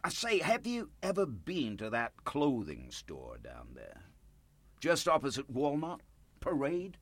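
An actor playing a folksy, chatty older American churchgoer in a radio play, effusive and rambling. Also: male voice, medium pitch, wildly swooping pitch, unhurried, no echo. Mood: rambling, sheepish. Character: folksy, gushing, chatty old American carpet salesman churchgoer.

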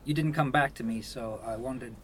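A man playing an awkward, polite visitor in a radio play, speaking in a hesitant, halting, apologetic way. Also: mid-range voice, slight background noise, lightly acted. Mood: awkward, tentative. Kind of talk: naturalistic radio play acting.

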